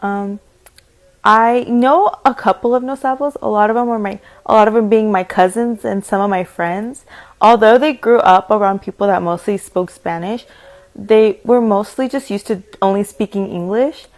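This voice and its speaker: Young woman, student testimony, plain and steady delivery, mid-pitched voice. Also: moderate pace. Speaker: student interviewee. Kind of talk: personal testimony.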